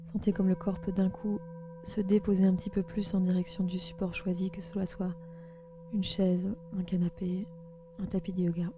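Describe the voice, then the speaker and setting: A woman host leads a guided relaxation meditation, speaking calmly and gently in slow, spaced instructions. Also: fairly low pitch. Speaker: podcast host and yoga nidra guide.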